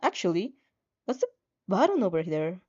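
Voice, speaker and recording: A woman's voice: lowish voice, sing-song, normal speed, darkish tone, slightly rough, dull audio. A woman narrating a second-person story expressively, in an informal manner with stumbles over the lines. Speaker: fan fiction reader.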